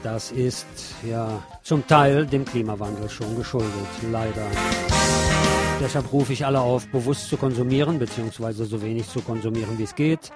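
A man's voice: medium pitch, moderate pace, loud music behind the voice. A man hosting a radio show, reading a film review from a script with chatty asides to his listeners.

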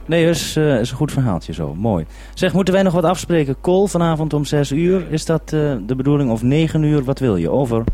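A man's voice: fairly high pitch, animated, a little fast, warm tone. A man with a Dutch accent from the Netherlands, casually coordinating a radio link as the programme maker. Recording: faint hum and background noise.

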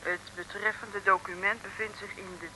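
A woman's voice: mid-range voice, steady tone, moderate pace, pushed and bright. An actress playing a polite, naive female detective's assistant who eagerly echoes her boss in a vintage Dutch radio comedy. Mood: excited. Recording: old radio recording with faint noise.

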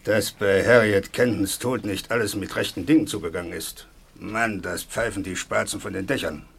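An actor playing a hearty, down-to-earth innkeeper in a 1950s German radio play, brisk and genial. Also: male voice, lowish voice, vocal fry, breathy edge. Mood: matter-of-fact.

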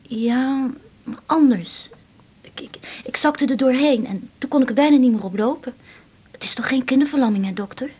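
A woman's voice: high-pitched, normal speed, mellow, husky. An actress playing an anxious, polite schoolgirl patient, hesitant and stammering, in a 1980s radio drama. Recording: dull, muffled 1980s radio recording.

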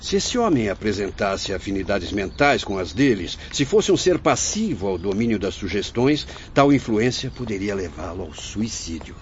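An actor playing a kindly, venerable old spirit who runs an asylum, with a Brazilian accent, speaking in a measured, teacherly way in a radio drama. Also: male voice, lowish voice, big pitch swings, a little hiss or hum. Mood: grave, patient. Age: older. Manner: measured, benevolent, didactic. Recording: muffled audio with music.

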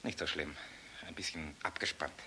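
Deep-voiced, weary pilot husband. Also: male voice, steady tone, pushed and bright, a little hiss or hum, heavily acted, poor audio. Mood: tender, weary. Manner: subdued, tender, halting. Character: weary, gentle, earnest young fighter pilot husband. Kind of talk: intimate radio play acting.

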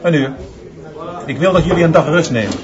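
A man's voice: on the high side, lively intonation, fairly quick, muffled recording, a loud crowd, close to an everyday voice. A man playing an authoritative leader who gives firm orders in a radio play.

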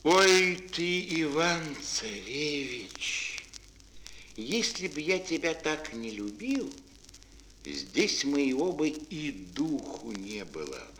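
Актёр играет мудрого и верного серого волка в детской сказке, говорит размеренно и наставительно. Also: male voice, fairly high pitch, big pitch swings, a bit bright, full character voice. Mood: gruffly fond. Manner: measured, admonishing. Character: wise, loyal male grey wolf, gruffly caring helper. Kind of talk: fairy-tale audio play acting. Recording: old mono record, faint noise.

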